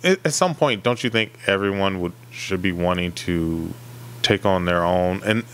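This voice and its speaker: A man's voice: low-pitched, animated, moderate pace, a bit bright, a little hiss or hum. A man co-hosting a podcast, talking casually and feeling his way through a point. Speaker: podcast co-host. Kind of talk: casual discussion.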